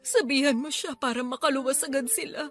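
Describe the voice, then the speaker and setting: A woman's voice: sits high, fairly even intonation, brisk, a bit bright. An actress playing a tearful, grieving elderly grandmother in a Filipino-accented Tagalog radio drama. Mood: sorrowful, worried. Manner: tearful, emotional delivery.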